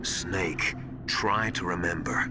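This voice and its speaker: An actor playing a secretive, grave military confidant in a hushed dramatic video game style. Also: male voice, sits low, takes it slowly, noisy background. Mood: suspicious, hesitant. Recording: music behind the voice.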